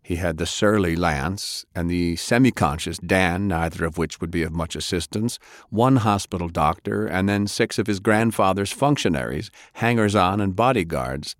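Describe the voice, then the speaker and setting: Low-voiced male audiobook narrator. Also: no room sound, studio quality. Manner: measured, expressive. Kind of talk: dramatic audiobook reading.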